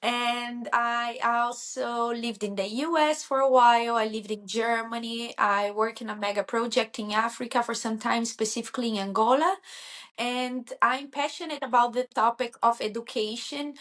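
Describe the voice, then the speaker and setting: A woman co-hosts a podcast in English. Her fairly high voice sounds warm and earnest, and she laughs easily. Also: steady tone, normal speed. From Brazil. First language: Portuguese. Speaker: podcast co-host. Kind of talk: casual podcast chat.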